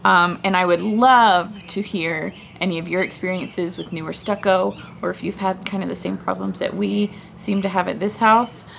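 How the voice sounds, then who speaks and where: Young woman family vlogger, casual rambling talk, slight vocal fry, close camera, faint background hiss. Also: lowish voice, fairly even intonation, normal speed, few pauses, a bit bright. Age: young adult. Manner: chatty, rambling, thinking aloud. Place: front porch outdoors. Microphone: handheld camera close up.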